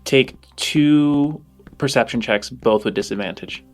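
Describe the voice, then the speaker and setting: A man's voice: medium pitch, unhurried. A man improvising narration as game master of a tabletop role-playing game. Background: music.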